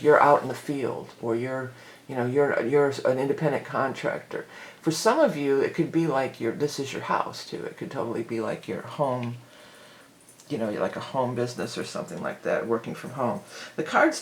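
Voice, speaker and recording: A hoarse woman giving a casual, rambling tarot reading, full of fillers and restarts. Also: sits low, echoey room. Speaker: tarot reader.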